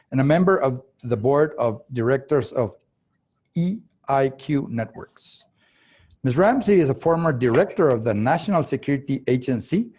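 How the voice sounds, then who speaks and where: A man whose first language is Spanish, moderating a conference panel in English. He reads the guests' bios in an unhurried, formal way, with halting restarts and throat-clearing along the way. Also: medium pitch, darkish tone. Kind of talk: formal introduction, reading guest bios aloud.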